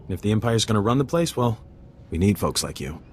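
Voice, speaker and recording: A casual male operative in a sci-fi game. His low voice moves in a lively way. He sounds sincere and a little hesitant, with clear ambience behind him. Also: fast talker, a little hiss or hum. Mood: wistful. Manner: informal, earnest, hesitant. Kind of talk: video game voice acting, casual dialogue.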